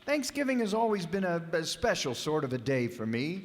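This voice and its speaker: An actor playing a patient middle-aged father in a family radio drama, gently teasing and reasonable. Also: fairly high pitch, big pitch swings, a little fast, a little hiss or hum. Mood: nostalgic. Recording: reverberant live hall.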